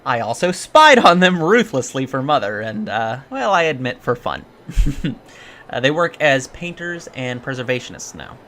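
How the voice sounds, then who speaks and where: A man's voice: mid-range voice, big pitch swings, moderate pace, bright, forceful tone. A man playing an earnest young alien cadet in an audio drama, speaking politely and precisely with hesitant ums. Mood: chatty, fond. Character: earnest young male alien Starfleet cadet, eager and scholarly. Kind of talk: audio drama interview acting.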